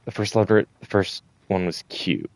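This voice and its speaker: A man's voice: low-pitched, normal speed, no room sound. A man giving casual gameplay commentary, thinking aloud and muttering to himself with the odd swear word. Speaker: gamer recording a playthrough.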